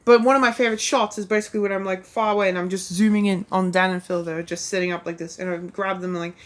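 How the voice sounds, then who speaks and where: A woman's voice: mid-range voice, fairly even intonation, fast. A woman talking casually about her own past videos, rambling and self-deprecating, with lots of "like" and "um" fillers. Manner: rambling, heavy use of "like" and "um".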